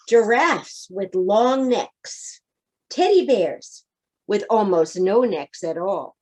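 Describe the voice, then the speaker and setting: A woman's voice: mid-range voice, darkish tone. A woman reading a children's picture book aloud, expressively, speaking directly to young listeners. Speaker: storybook reader.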